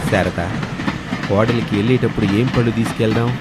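A man voicing a patient, reasoning middle-aged father-in-law in an animated moral story, gently chiding his wife. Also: on the low side, brisk, heavy background noise, natural voice.

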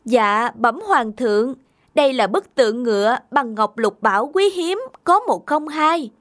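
Lady doing self-serving royal minister from a cartoon tale, high voice, stuffy and formal. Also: expressive, measured pace, a bit bright, dry recording, clean studio sound. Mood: obsequious. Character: self-serving, flattering male court minister. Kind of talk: animated folk tale dialogue. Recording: faint music underneath.

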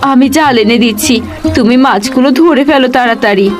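A woman playing a caring village wife and mother in a cartoon, expressive and easily amazed. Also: sits high, moderate pace, natural voice. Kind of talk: cartoon story dubbing. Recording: loud music behind the voice. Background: music.

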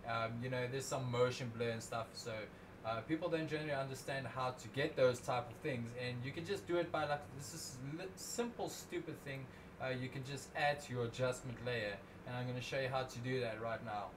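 A young man talks through an editing tutorial in a loose, rambling way, often restarting his sentences. His voice sits at a middling pitch. He speaks into a desk mic, and steady background noise runs under the whole recording. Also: a little fast. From South Africa. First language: Afrikaans. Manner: rambling, self-correcting, casual. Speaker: drone video creator.

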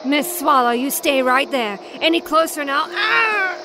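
A woman playing a calm, grave supernatural expert posing as a doctor in a radio drama, measured and gently apologetic. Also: sits high, noisy background. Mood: urgent.

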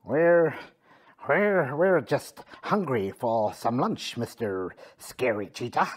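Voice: medium pitch, expressive, takes it slowly, breathy edge, pitched below the performer's own voice. A woman voicing a friendly, dopey, nervous male fox underling in a children's story. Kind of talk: children's animal story character voice.

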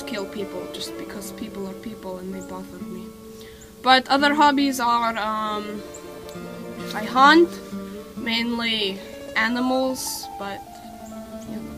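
A teenage girl acting a calmly sinister female mafia boss in a mock documentary interview, matter-of-fact and full of hesitant ums, recorded outdoors on a snowy deck with music behind. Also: fairly high pitch, close to an everyday voice. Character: deadpan female mafia killer, cheerfully sinister.

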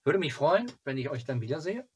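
Halting, slightly husky man chatting casually. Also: a little high, very animated. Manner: halting, rambling delivery. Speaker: fan club video host. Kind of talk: casual chat to camera. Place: small cluttered home room.

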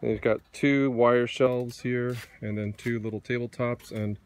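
A man's voice: medium pitch, steady tone, slight background noise. A middle-aged man casually walking through his outdoor campsite, filming on a handheld phone and pointing out gear, sometimes correcting himself. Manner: unhurried, pointing things out, small self-corrections. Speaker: camper giving a campsite tour. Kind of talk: casual walkthrough narration. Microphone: handheld phone camera, speaker behind it.

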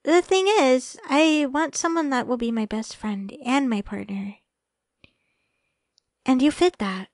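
Woman acting a high-voiced, sweet young girlfriend. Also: measured pace, long pauses, no room sound, clean studio sound. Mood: affectionate, playful. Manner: soft, hesitant, affectionate, stammering when flustered. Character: sweet, shy, flustered young girlfriend on a date. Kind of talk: ASMR romantic audio roleplay.

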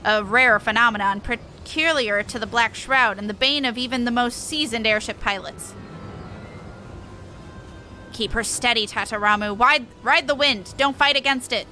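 A woman's voice: a little high, moderate pace, sharp tone, pitched below the performer's own voice. A woman voicing an anxious airship expert from a fantasy game, formal, explanatory and urgent. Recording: faint music behind.

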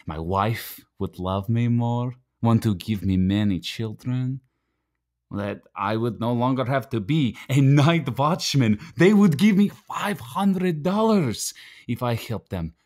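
An actor playing a proud, desperate immigrant night watchman in a radio play, pleading earnestly and haltingly. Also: mid-range voice, expressive, darkish tone. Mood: hushed, earnest.

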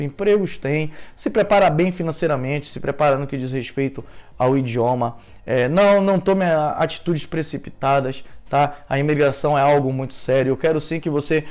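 A man's voice: mid-range voice, darkish tone. Earnest Brazilian man giving advice. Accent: Brazilian Portuguese. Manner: earnest, explanatory, gestures a lot with his hands. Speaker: immigration vlogger. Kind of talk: advice vlog to camera. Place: living room at home, indoors. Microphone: lapel mic.

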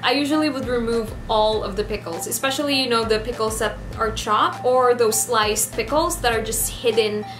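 A 27-year-old woman from the Philippines with a Filipino accent and Ilonggo as her first language, chatting casually to camera in an animated way as she lists facts. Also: a little high, normal speed, hardly any pauses. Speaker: vlogger. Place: small decorated room indoors. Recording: roomy echo, music behind.

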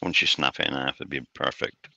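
Really deep guy, crackly gravelly voice, casual livestream banter, dry sound. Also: level tone, brisk, sharp tone, no echo, lo-fi sound. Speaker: guest commenter on a live stream.

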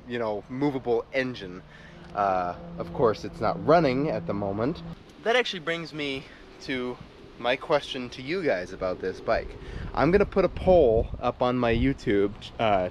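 A man's voice: medium pitch, a little mellow. A man in the middle of the male range chats eagerly while filming outdoors on a handheld camera. He rambles, restarts sentences and fills gaps with "uh". A faint outdoor noise sits under his voice. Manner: enthusiastic, rambling with fillers and restarts. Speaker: YouTube motorbike restorer. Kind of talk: casual show-and-tell vlog. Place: outdoors on a brick patio by a shed.

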